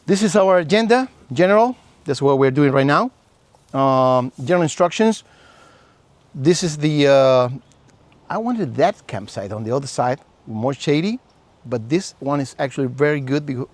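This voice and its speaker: A man's voice: medium pitch, lively intonation, moderate pace, dry recording. A man from Chile with a Chilean Spanish accent, a native Spanish speaker, earnestly giving a survival class outdoors. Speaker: survival instructor. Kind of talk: class instruction. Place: outdoor campsite.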